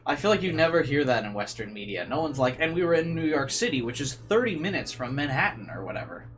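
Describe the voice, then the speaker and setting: A man's voice: on the high side, big pitch swings, quick pace, fairly bright tone. A man doing casual livestream commentary and reading a visual novel aloud, rambling and incredulous in manner. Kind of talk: casual livestream commentary mixed with read-aloud game narration.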